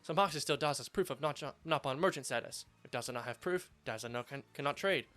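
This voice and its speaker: A hoarse man reading out a small, fretful male creature merchant who speaks in broken, childlike phrases and calls himself by name. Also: medium pitch, brisk, a bit bright, soft background music, natural voice. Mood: fretful pleading. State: hoarse after surgery.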